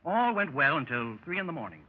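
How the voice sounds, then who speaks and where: A man's voice: a little high, very animated, a little fast. An actor playing a dashing lieutenant adventurer telling his escapade with old-fashioned exclamations, in 1930s radio style. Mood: reflective. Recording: muffled 1930s radio recording with music.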